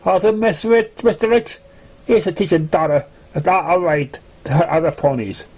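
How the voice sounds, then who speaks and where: A man's voice: sits high, moderate pace, a little mellow, slightly rough. A man voicing a firm pony guardian who admonishes other parents in a moralizing way. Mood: admonishing.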